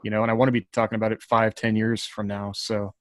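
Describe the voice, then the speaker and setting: A man hosting a podcast in a loose, informal, halting way, with self-aware asides. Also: on the low side, flat delivery, dry recording, clean studio sound. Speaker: podcast host. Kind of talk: casual, loosely scripted podcast chat.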